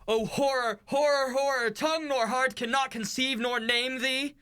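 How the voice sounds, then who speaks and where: A horrified noble, acted by a man in a Shakespearean radio play. The voice is extremely high and piercingly bright, declaiming the verse in frantic alarm. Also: steady tone, moderate pace, clean tone.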